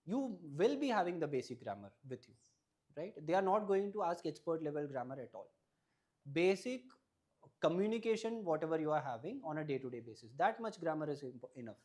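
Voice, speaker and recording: A young man with a fairly high-pitched voice whose first language is Malayalam, speaking as an exam topper in an interview. He gives practical advice in a steady, explanatory way and often closes a point with a quick "right?". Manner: advisory, often ends points with a questioning "right?".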